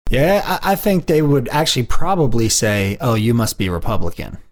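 A man's voice: mid-range voice, big pitch swings, normal speed. A man co-hosting a podcast in casual chat, jokey and laughing often. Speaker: podcast co-host.

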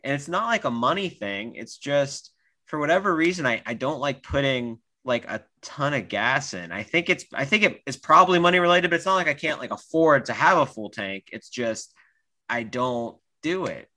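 A man's voice: mid-range voice, animated, normal speed, bright, forceful tone. A man co-hosting a podcast in casual chat, easygoing and hedging as he talks. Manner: easygoing, hedging with lots of "like" and restarts. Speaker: podcast co-host.

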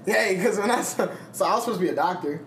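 A man's voice: sits high, expressive, fast, a bit bright, a little hiss or hum. A man in his twenties co-hosting a podcast, chatting casually with friends. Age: 20s. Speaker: podcast co-host. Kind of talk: casual chat.

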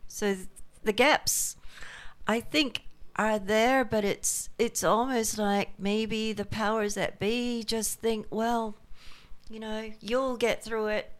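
A woman interviewing a guest in a relaxed conversation, engaged and quick with murmured replies. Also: on the high side. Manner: engaged, frequent backchannel murmurs.

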